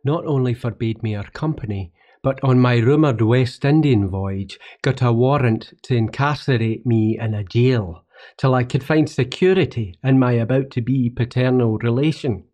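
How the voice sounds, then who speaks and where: An actor playing a wry, roguish young Scottish poet, telling his own life in literary, measured monologue for a radio play. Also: medium pitch, mellow, close to an everyday voice. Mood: sombre, resigned. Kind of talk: first-person radio play monologue with folk songs.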